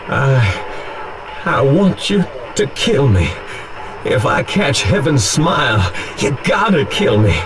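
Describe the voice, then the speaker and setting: Theatrical, high-swinging thrill-hungry showman. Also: exaggerated voice. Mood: confiding, grim. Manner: theatrical, drawn-out, swaggering. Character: flamboyant, thrill-hungry showman sliding into murderous madness. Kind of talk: animated video game cutscene acting. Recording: voice under loud music.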